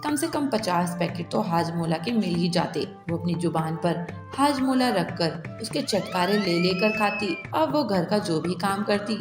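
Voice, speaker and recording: A woman narrating a cartoon story in a steady, plain manner. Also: on the low side. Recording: echoey room with long reverb and music.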